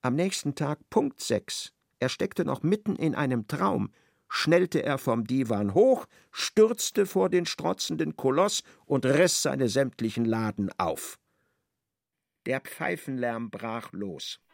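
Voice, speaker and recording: A man narrating a radio play with expressive, dramatic storytelling. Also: mid-range voice, slow, creaky, no room sound, clean studio sound.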